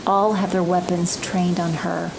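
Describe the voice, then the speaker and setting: A woman's voice: on the low side, flat delivery, a little slow, creaky, background noise. A woman giving dramatic scripted narration as the narrator of an audio drama.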